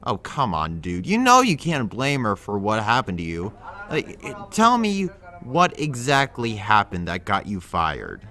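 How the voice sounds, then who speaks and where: A man's voice: on the low side, huge pitch swings, measured pace, sharp tone, exaggerated voice. A man playing a blunt but well-meaning friend in an audio drama, casual, incredulous and chiding. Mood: concerned, reasoning. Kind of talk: conversational audio drama acting.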